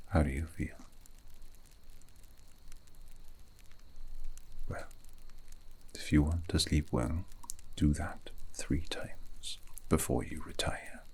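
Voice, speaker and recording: A man playing a calm, wise elven mage in an ASMR roleplay, speaking in a breathy, gravelly half-whisper with a slow, poetic, soothing manner. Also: very deep voice, exaggerated voice, poor audio. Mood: gentle, lulling. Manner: slow, measured, poetic, tender.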